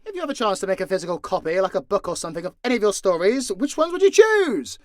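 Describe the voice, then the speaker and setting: A man's voice: sits high, very animated, fast talker, dry recording, clean studio sound. A man with a southern English accent answering questions casually and off the cuff, blunt and joking, with frequent swearing. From southern Britain. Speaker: audio story creator and voice actor. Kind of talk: casual, unscripted Q&A.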